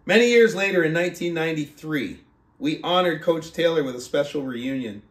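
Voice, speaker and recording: A man who speaks as a motivational book club host, reading aloud and coaching in an emphatic, deliberate, rhetorical manner. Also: fairly high pitch, a bit bright. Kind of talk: audiobook-style reading aloud and motivational talk. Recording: roomy, echoing sound.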